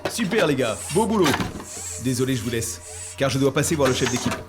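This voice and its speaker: A man voicing an eager, self-assured, helpful software mascot, presenting in an upbeat way in an educational cartoon. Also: mid-range voice, very animated, a little fast, over music, natural voice. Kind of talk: scripted explainer in an educational cartoon.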